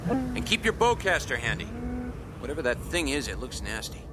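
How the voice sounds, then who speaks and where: A man's voice: on the high side, very animated, normal speed, noisy background. A man with an American accent playing a cocky, roguish smuggler pilot in a radio drama, talking in a wry, casual way. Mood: alert, businesslike.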